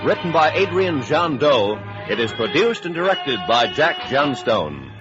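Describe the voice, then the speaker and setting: A man's voice: medium pitch, big pitch swings, measured pace, over music. A man with an American accent announcing in a polished, formal 1950s radio style. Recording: muffled old radio recording.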